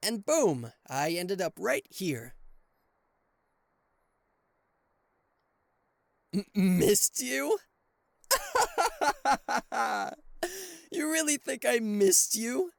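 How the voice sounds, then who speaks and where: A man playing a cocky, playful and easily flustered king of hell as an affectionate boyfriend in an ASMR roleplay, with theatrical teasing pauses and stammers. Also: very high voice, very animated, gravelly, dry recording, exaggerated voice. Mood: flustered denial. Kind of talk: ASMR roleplay addressed to the listener.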